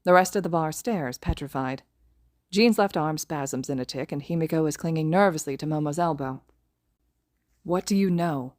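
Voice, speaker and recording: A woman narrating a fan fiction audiobook in steady, even reading. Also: low-pitched, a little fast. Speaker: podfic reader.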